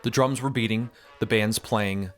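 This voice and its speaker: A man giving a dramatic, scripted literary narration in a solemn, measured storytelling manner with rhetorical flourish. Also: lowish voice, fairly even intonation, over music.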